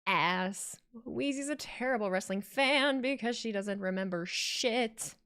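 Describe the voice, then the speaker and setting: A woman's voice: on the high side, a little slow, fairly bright tone, clean tone. Woman, young adult, casual streamer, joking, reads chat aloud. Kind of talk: casual watch-along chat.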